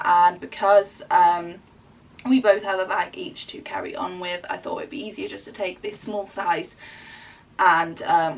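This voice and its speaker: A woman of thirty chatting through a packing vlog with plenty of ums, her voice croaky and fading from a cough. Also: on the low side, a bit bright. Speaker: family vlogger. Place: her bedroom.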